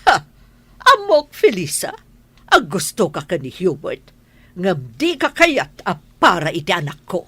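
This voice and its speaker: A woman's voice: fairly low pitch, wildly swooping pitch, measured pace, sharp tone, husky, no echo, exaggerated voice. A woman playing a stern, haughty mother and mistress of the house in a radio drama, scolding and imperious. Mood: angry, imperious. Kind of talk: Ilocano radio drama acting.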